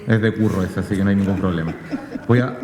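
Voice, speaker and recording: A man's voice: sits low, fast. A man chatting casually as a guest speaker getting ready to present. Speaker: guest speaker, academic and journalist. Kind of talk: casual chat before a presentation. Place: indoor panel event hall. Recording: echoey hall with crowd behind.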